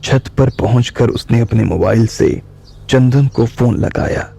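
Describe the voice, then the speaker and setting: A man narrating an audio drama in calm, measured storytelling. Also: medium pitch, gravelly, slightly rough. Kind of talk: slow scripted audiobook narration. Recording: soft music bed.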